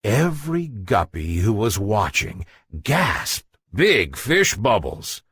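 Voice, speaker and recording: A man's voice: lowish voice, big pitch swings, slow, a bit bright, breathy edge, dry recording. A man narrating a children's bedtime story expressively, building suspense in a dramatic way.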